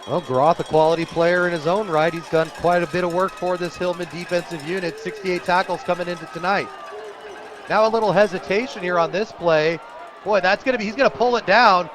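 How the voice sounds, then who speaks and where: A man's voice: high voice, a little fast. An American man doing live radio play-by-play commentary of a football game. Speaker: play-by-play announcer. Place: outdoor high school football stadium. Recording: loud crowd behind, outdoor stadium broadcast. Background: crowd noise.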